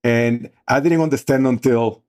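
A man's voice: medium pitch, moderate pace, a little mellow. A man in his forties from the Dominican Republic, speaking with a Dominican Spanish accent, telling his story as a podcast guest with frequent restarts and "you know"s. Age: 40s. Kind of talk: casual storytelling.

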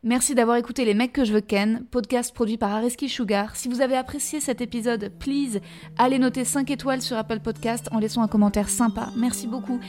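A woman hosting a podcast, firing off teasing either-or questions and reading a brisk, upbeat sign-off. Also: on the high side, steady tone, a little mellow, soft background music. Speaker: podcast host. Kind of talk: quickfire questions, then scripted outro.

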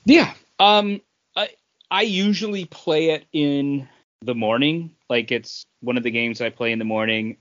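A man co-hosting a casual gaming chat, talking informally and a bit haltingly in loose, wandering sentences. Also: mid-range voice, a bit bright, dull audio. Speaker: podcast co-host.

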